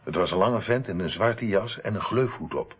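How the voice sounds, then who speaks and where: An actor playing an intimidated, hesitant murder witness in a radio play. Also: male voice, deep voice, expressive, normal speed, slightly rough. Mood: uneasy, recounting. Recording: muffled narrowband old radio play recording.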